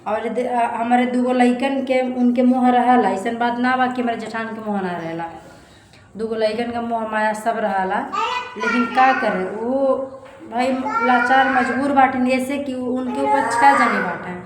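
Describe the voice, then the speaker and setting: A young woman vlogger speaks Hindi with a Bhojpuri accent in a fairly high voice. She chats earnestly and plaintively, often repeating herself. The room is echoey, with clear noise behind her. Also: measured pace. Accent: Bhojpuri-accented Hindi, eastern Uttar Pradesh. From eastern Uttar Pradesh, India. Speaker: family vlogger. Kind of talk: casual confiding vlog chat to viewers. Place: indoors in a rented room.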